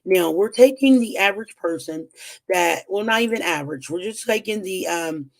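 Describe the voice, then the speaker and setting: A woman's voice: on the low side, animated, normal speed, vocal fry. A young woman with a strained, recovering voice, chatting casually as she teaches personal finance at a whiteboard, with lots of "um"s and upbeat encouragement. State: voice strained after losing it. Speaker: financial educator hosting a weekly livestream.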